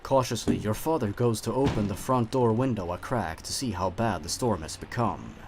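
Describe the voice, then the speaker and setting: A man giving scripted horror narration, telling the story in a hushed, low voice that addresses the listener as "you". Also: normal speed, a little hiss or hum.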